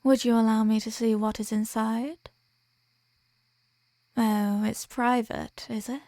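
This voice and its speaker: A woman's voice: a little high, flat delivery, mellow, no echo, studio quality. A woman playing a sadistic, dominant vampire in an ASMR roleplay, seductive and mocking, with elegant, formal endearments. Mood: smug, insistent. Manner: slow, teasing, formal endearments, breathy murmurs.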